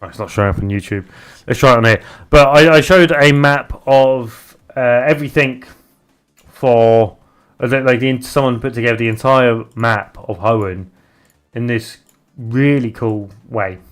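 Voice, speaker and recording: A man's voice: mid-range voice, fairly bright tone, smooth, soft background music. Rambling British streamer man. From Britain. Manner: rambling, halting, restarts often while reading viewer chat aloud. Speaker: live streamer and game developer. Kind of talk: casual live stream chat.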